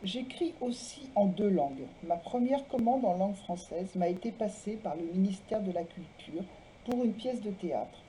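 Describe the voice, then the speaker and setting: A woman presenting and reading literary texts aloud in a measured way, phrase by phrase. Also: medium pitch, animated, dark tone, slight background noise, low-quality recording. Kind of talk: literary talk, biographical introductions and read-aloud texts.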